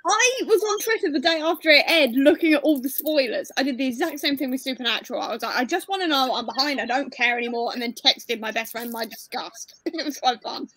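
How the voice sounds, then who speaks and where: A young woman in her twenties with a high voice, chatting on a video call. She talks emphatically and freely, and the sound is close and dry. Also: fast talker, hardly any pauses, fairly bright tone, dry recording, rough recording. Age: 20s. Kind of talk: casual video-call chat.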